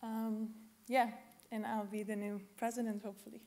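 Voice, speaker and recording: A woman's voice: fairly high pitch, level tone, normal speed, very noisy. A young woman, a student researcher, briefly introducing herself as presidential candidate in a conference hall.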